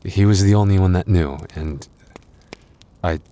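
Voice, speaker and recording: A man playing an exhausted, wounded werewolf boyfriend in an ASMR roleplay, tender and reassuring, with halting, sighing, intimate delivery. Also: low-pitched, dark tone, a little hiss or hum. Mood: weary, recounting.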